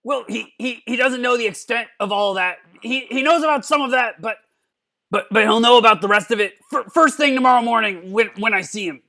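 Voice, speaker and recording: A man voicing a belligerent, drunken old mad scientist in a cartoon-style comedic reading, gravelly and stammering, repeating himself and cursing. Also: extremely high pitch, a little fast, sharp tone, full character voice. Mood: agitated, boastful. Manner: stammering, repeating words, profane.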